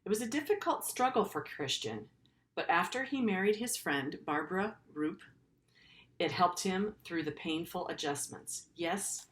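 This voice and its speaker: Woman, middle-aged, low-pitched voice, earnest teacher, read-aloud storytelling, simple explanations for children. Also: expressive, measured pace. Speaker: teacher reading a book aloud to pupils.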